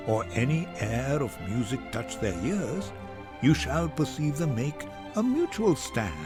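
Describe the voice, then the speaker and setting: A man voicing a romantic young gentleman, speaking lyrical, measured verse in a tender dramatic Shakespeare reading. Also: mid-range voice, pitched below the performer's own voice. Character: Lorenzo, romantic, poetic young gentleman lover.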